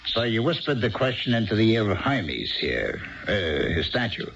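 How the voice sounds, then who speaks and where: Dry, patient bartender, lo-fi sound. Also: male voice, on the low side, very animated, moderate pace, fairly bright tone. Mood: patient, probing. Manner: folksy, dry, prompting. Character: patient, plain-spoken, gently skeptical male bartender. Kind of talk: 1970s radio drama acting. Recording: dull lo-fi 1970s radio broadcast.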